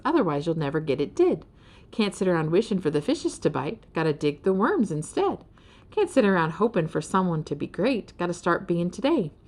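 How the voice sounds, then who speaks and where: A woman voicing a hospitable, encouraging female giraffe-mouse with a gracious, folksy manner in a children's storybook. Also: on the low side.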